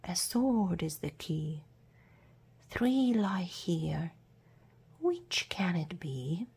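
A woman narrates a children's storybook at an extremely slow, deliberate pace. Her voice is fairly low and mellow, with a husky grain to it. Phrases often trail off into a hush. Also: very animated. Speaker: storybook reader.

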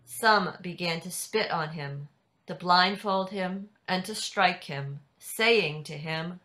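Slow, bright-voiced woman reading scripture. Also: on the low side. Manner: measured, deliberate reading. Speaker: narrator in a church dramatic reading. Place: indoors at home on a video call. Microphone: video call computer microphone.

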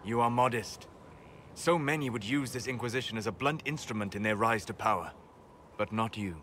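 A man playing a calm, reserved, scholarly elf mage in a video game, speaking formally in deliberate, measured phrases. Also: mid-range voice, a little hiss or hum, lightly acted. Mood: grave, critical. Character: calm, scholarly, reserved elven mage, admiring yet condescending. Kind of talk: video game dialogue acting.

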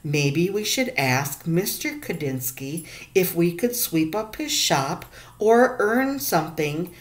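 An earnest young boy from a picture book, eager and a bit hesitant, in a slightly raised voice, as a woman reading aloud does him. The delivery stays slow and unhurried. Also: fairly low pitch, animated.

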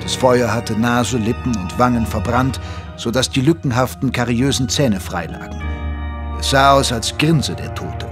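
Audio drama narrator male actor: wry gentleman doctor, medium pitch, measured and literary. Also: music in the background, natural voice. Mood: sombre narration. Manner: measured, literary, dryly amused. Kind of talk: scripted first-person narration in German audio drama.

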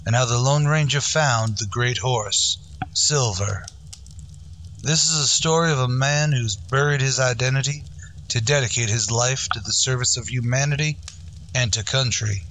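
A man's voice: medium pitch, a bit bright. Casting: male narrator for old-fashioned western radio serial; mid-range voice, solemn and grand, faint noise behind. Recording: faint background noise, ambience.

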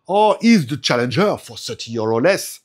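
A man's voice: mid-range voice, big pitch swings, normal speed. A man in his forties with a French accent, a native French speaker, talking casually in broken English and laughing often as he reviews tech at home. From France.

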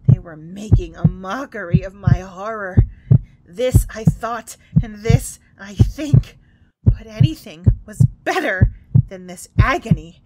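A woman's voice: mid-range voice, slow pace, dark tone, a little hiss or hum, close to an everyday voice, low-quality recording. A woman playing a guilt-haunted, unhinged murderer in a theatrical, intense audio drama monologue. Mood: frantic, then screaming hysteria. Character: mad, guilt-haunted murderer, falsely calm then frenzied. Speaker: narrator of a horror tale.

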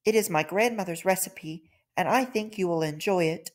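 A man's voice: high-pitched, moderate pace, pitched above the performer's own voice, lightly acted. A man voicing a tiny, earnest female ant in a storybook, polite and apologetic. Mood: humble, apologetic.

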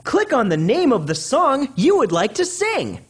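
Peppy cartoon bunny for a kids game, super swoopy pitch, slow pace, encouraging. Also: male voice, huge pitch swings, heavily acted. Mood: friendly, instructive. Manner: bouncy, encouraging, exaggerated and clearly enunciated for small children. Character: upbeat young male cartoon rabbit guiding children. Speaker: children's game guide character. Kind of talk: animated educational game voice acting.

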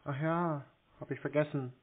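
A man on a prank phone call, answering tersely and deadpan, feigning slowness as a customer. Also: on the high side. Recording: muffled narrow phone-line sound.

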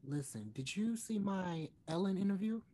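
A man's voice: sits high, expressive, mellow, pitched above the performer's own voice. Young man acting a nervous, hesitant singer. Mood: pleading. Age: young adult. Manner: hesitant, halting. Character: nervous, earnest male singer seeking a hard talk. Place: indoor room on video call.

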